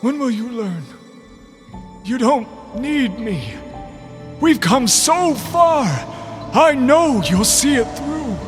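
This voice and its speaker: A little wizard speaks slowly in a very high voice, fondly grumpy and melodramatic. The setting is a comedic fantasy audio drama, with long reverb and clear music behind him. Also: male voice, animated, heavily acted. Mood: dying, tender. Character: small, cranky but devoted wizard companion.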